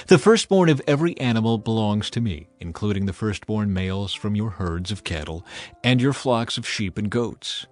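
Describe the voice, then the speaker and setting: A man's voice: lowish voice, expressive, moderate pace, natural voice. A man voicing a solemn, commanding God in dramatized scripture, grave and declamatory. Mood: stern, commanding. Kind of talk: dramatized audiobook acting.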